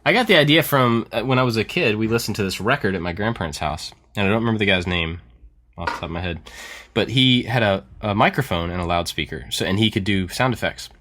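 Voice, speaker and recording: Lowish voice guy hosting a podcast, excited and jokey, laughs a lot. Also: fast talker. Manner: enthusiastic, self-deprecating, laughs often. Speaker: podcast host. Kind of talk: casual chat.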